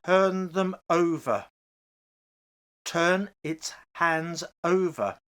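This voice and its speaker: A man's voice: fairly high pitch, drawn-out words, a bit bright, clear, resonant tone. A man playing a fearful older husband in a radio horror play, agitated, hesitant and pleading. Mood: horrified.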